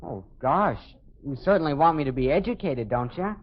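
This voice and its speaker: A man's voice: a little high, lively intonation, moderate pace. A man playing an earnest, hapless teenage son with an American accent in a 1950s radio sitcom, boyish, sheepish and persistent. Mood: pleading. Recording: muffled old radio recording.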